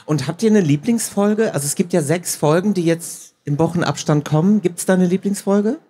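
A man with a husky voice hosting a live on-stage interview. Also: sits high, moderate pace, mellow. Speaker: interviewer, audiobook producer. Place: stage at a book fair.

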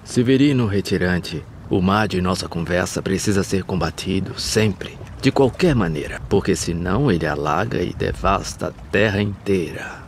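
A Brazilian actor playing a stout, patient older village carpenter who gives counsel in declaimed verse for an animated drama. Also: male voice, sits low, normal speed, hardly any pauses. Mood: earnest, counselling. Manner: declamatory, counselling, swooping emphasis. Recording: outdoor ambience noise behind the voice.

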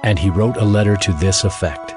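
A man's voice: sits low, brisk, music in the background. A man narrating dramatized scripture as a scripted reading.

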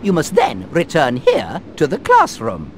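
An elderly schoolmaster addresses pupils in a precise, fussy, kindly way. His pitch sits fairly high and leaps about wildly. It is game dialogue with faint noise. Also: wildly swooping pitch, measured pace. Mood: brisk, instructive. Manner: precise, formal classroom delivery. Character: elderly little male charms professor, kindly and fussy.

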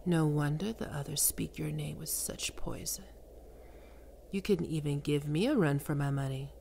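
Woman playing a sultry domineering demon queen, slow whispers, low gravelly voice, faint ambience behind. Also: dark tone, a little hiss or hum. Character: seductive, imperious female demon queen of lust. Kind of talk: ASMR roleplay audio drama.

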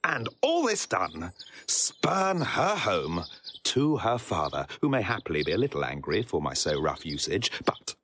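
Pompous, low-voiced young prince. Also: male voice, sing-song, a bit bright, slight background noise, exaggerated voice. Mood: vindictive. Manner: pompous, halting, chuckling to himself. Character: vain, boastful, vengeful young prince in disguise. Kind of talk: theatrical Shakespearean soliloquy.

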